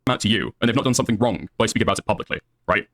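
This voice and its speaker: A man's voice: fairly low pitch, racing, a bit bright. A man in a confrontational live debate, insistent and pressing, often cutting in.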